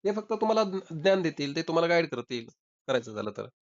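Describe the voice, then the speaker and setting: A man talks very quickly on a recorded phone call with dull, lo-fi sound. He argues his side insistently, repeating points as he goes, in a slightly high voice. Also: sing-song. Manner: insistent, argumentative, repeating himself. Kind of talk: informal debate.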